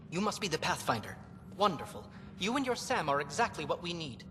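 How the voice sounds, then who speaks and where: An actor playing a brisk, self-assured male science professor in a video game, with a formal delivery. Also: fairly high pitch, sing-song, sharp tone. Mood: eager, assured. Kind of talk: video game cutscene acting. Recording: echoey hall with music behind.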